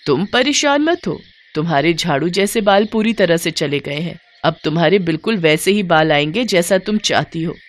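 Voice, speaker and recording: A woman's voice: fairly low pitch, animated, normal speed, few pauses, natural voice. Woman as a sly, knowing female healer. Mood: calmly sly. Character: wise woman healer from the mountains, sly and stern.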